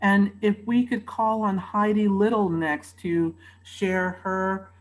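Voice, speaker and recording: A woman moderator speaking slowly and politely. She introduces each speaker in measured, courteous phrases. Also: mid-range voice, steady tone, rough recording. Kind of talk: polite introductions on a video call.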